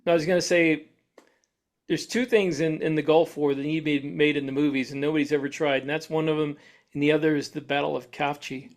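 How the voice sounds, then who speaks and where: A man's voice: on the high side, steady tone, brisk. A man co-hosting a podcast in a casual, conversational style. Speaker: podcast co-host. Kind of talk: casual chat.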